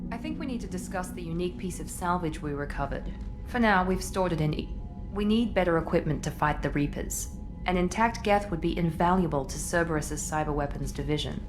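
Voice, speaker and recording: A woman's voice: lowish voice, a little fast, over music, lightly acted. A woman playing an assured, formal operative officer in a video game, speaking in a controlled, matter-of-fact way. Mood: cool, insistent. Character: cool, assured female loyalist operative officer. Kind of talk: video game cutscene dialogue.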